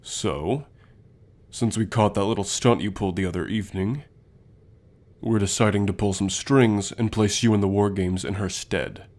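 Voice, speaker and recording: A man's voice: lowish voice, steady tone, moderate pace, a little mellow, natural voice. A man playing a stern, secretive academy professor with a formal, authoritative manner in an audio roleplay. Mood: stern, commanding.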